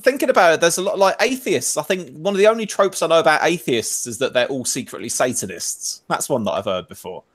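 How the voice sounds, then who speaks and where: A man chatting on a podcast in an animated, joking way. His pitch swoops about and sits a little high. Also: fast talker, few pauses. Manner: animated, jokey, slips into silly voices. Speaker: podcast co-host. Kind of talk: casual chat.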